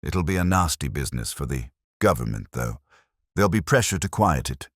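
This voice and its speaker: A man voicing a weary, gruff police inspector in a storybook, blunt and colloquial, grudgingly admiring. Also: rumbling low, big pitch swings, brisk, dry recording, studio quality, exaggerated voice. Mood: weary, uneasy.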